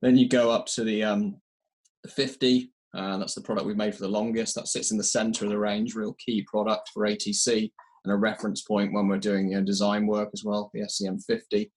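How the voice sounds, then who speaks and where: Man, low even pitch, slightly rough voice, hesitant explanatory delivery, technical interview. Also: fairly quick. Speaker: audio company representative being interviewed.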